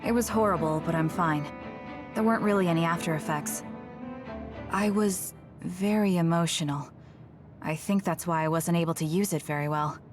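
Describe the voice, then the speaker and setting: An actress playing a blunt, socially awkward young woman soldier in a video game, speaking in a measured, earnest, matter-of-fact way. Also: lowish voice, music in the background, close to an everyday voice. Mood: calm, resolute. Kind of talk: English video game voice acting.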